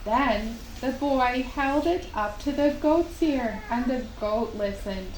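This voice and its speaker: A woman narrating a children's puppet show story in simple, unhurried phrases with long pauses. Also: fairly high pitch, a little mellow. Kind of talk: slow storytelling for young children. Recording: roomy live recording with faint noise.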